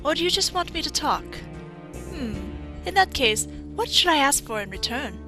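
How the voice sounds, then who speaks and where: Woman voicing bitter lady engineer, high-leaning, mocking, brusque, faint music behind, video game drama. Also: very animated, moderate pace. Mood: mocking, commanding. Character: bitter, sharp-tongued grieving female robot engineer. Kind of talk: fan dub of a video game.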